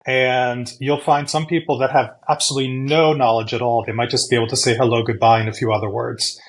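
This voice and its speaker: A man from the United States answers interview questions in a mid-pitched voice. He is measured and articulate. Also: level tone. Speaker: podcast guest.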